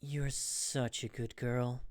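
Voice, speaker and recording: A streamer voicing a sultry, seductive admirer in a soft, drawn-out, sensual manner for a voice pack. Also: medium pitch, little pitch movement, natural voice. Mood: sultry praise. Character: sultry, slow-talking seductive admirer giving praise. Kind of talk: voice pack line reading.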